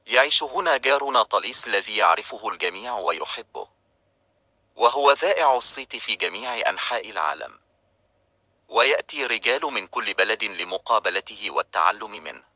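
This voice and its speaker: A man with a lowish, very bright voice reads an old tale aloud in a calm, steady storybook manner. The recording is close and dry, with no room sound behind him. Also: fairly quick, no echo. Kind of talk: scripted storybook narration.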